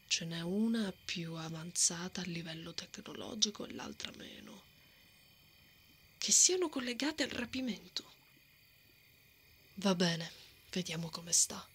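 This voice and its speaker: A woman voicing a brave, hot-tempered female knight with a secret soft heart, in an ASMR roleplay, blustering and easily flustered. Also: fairly low pitch, slow, pauses often, slight background noise. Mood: hushed, cautious. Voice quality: breathy, often dropping to a whisper. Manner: tsundere, blustering, flustered stammering.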